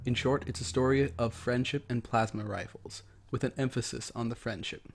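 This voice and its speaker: A man's voice: medium pitch, fairly even intonation, normal speed, gravelly, a little hiss or hum. A man narrating a story in a dramatic reading style. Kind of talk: dramatic reading of fan fiction. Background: music.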